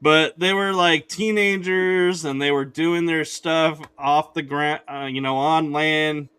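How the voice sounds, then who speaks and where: A man's voice: a little high, steady tone, slow, sharp tone, clear, resonant tone. A man hosting a podcast, chatting casually in an easygoing, rambling way with restarts. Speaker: podcast host.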